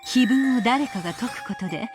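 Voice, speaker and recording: Composed, gentle, quick-spoken witch, acted by a woman. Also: fairly high pitch, over music, natural voice. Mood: calm certainty. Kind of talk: anime visual novel acting.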